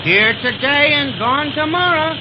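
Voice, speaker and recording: Wisecracking pilot over roaring engine noise. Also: male voice, extremely high pitch, lively intonation, a little slow. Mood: joking banter. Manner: folksy, joking, slangy talk. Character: wisecracking, happy-go-lucky veteran male pilot sidekick. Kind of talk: 1930s radio adventure serial acting. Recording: muffled 1930s radio recording, loud engine noise.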